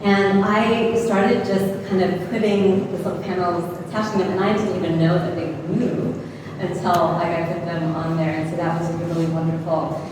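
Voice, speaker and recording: Woman with a slightly low voice, speaking as an artist in a gallery. She gropes for words and leans on "like" and "right?". She sounds far from the mic, in a hall with a long ring. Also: little pitch movement, normal speed. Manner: searching and hesitant, with many likes and rights. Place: gallery space, indoors. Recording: echoey hall from far off, noisy.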